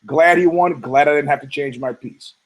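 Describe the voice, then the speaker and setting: A hoarse man hosting a podcast, rambling through a casual, profane monologue full of restarts and asides. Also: fairly high pitch, moderate pace. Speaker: podcast host.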